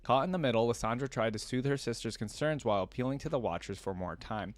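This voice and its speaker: Guy doing casual lore reaction stuff, reads bits out and thinks aloud, mid pitch, dry close sound. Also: expressive, quick pace, no echo. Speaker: host of a video game lore reaction series. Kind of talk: casual reaction commentary mixed with reading aloud.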